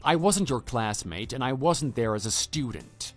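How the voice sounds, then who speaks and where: Guy acting a calm matter-of-fact husband in a radio drama, mid-pitched voice, music playing under it. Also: lightly acted. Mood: calm, firm. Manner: measured, matter-of-fact, quietly dry. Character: calm, unflappable husband, a former homeroom teacher. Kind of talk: scripted dialogue in an online radio drama. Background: background music.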